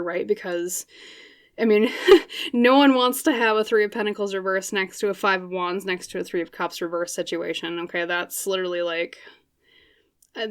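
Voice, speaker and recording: Chatty woman reading tarot, slightly scratchy. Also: on the low side. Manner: chatty, thinks aloud with many fillers and quick laughs. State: voice getting scratchy. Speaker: tarot reader. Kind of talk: casual pick-a-card tarot reading.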